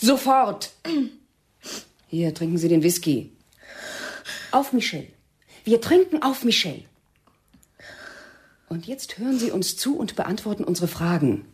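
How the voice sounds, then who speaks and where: A woman's voice: mid-range voice, lively intonation, measured pace, long pauses, darkish tone, husky. An actress in a radio drama playing a cold, domineering female militant interrogator, stern and mocking. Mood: harsh, commanding.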